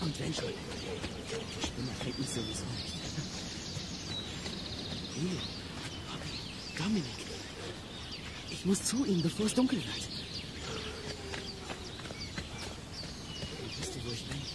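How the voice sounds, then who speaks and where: A man's voice: a little high, sing-song, unhurried, long pauses, heavy background noise. An actor playing a defiant, hot-tempered boy in a children's audio drama, cheeky and emphatic. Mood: agitated.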